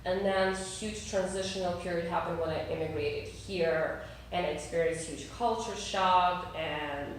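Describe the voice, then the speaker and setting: Russian-born woman guest, low voice, echoey. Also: measured pace, distant mic, a little hiss or hum. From Russia and Armenia. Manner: hesitant, many fillers and restarts, frequent laughs. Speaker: interview guest. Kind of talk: casual interview, personal story.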